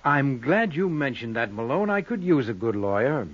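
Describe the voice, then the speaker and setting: An actor playing a brusque, self-assured, cagey gambling boss with clipped, sardonic lines in an old-time radio crime drama. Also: male voice, medium pitch, very animated, moderate pace, muffled recording.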